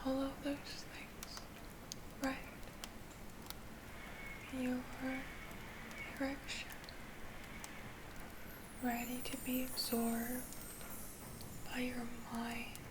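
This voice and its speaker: A woman performing a soft-spoken, whispered ASMR roleplay as a kind witch healer, soothing and gentle, lingering on her words. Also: high-pitched, poor audio.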